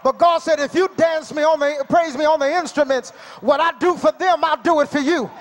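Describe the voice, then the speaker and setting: A man in his forties preaching at a convention, shouting and chanting in tongues into a handheld mic during a praise break in a large hall. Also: very high voice, steady tone, brisk. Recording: music playing, far from camera mic.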